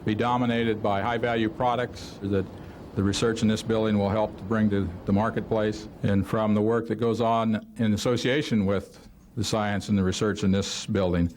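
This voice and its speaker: Male speaker, lowish flat voice, prepared remarks, faint noise behind. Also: normal speed.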